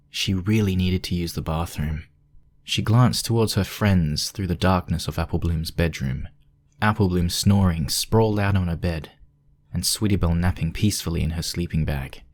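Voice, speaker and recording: A man's voice: low-pitched, moderate pace. A man reading scripted story narration as the narrator.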